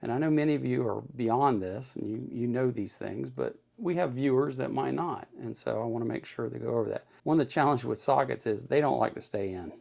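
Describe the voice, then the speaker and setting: A man with a mid-pitched voice and plenty of gravelly fry hosts an informal how-to. He talks in a folksy, plain-spoken way, reassuring the learner and going back over his points. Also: a little fast, few pauses, darkish tone. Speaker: host of an electronics kit-building tutorial. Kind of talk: informal hands-on tutorial talk.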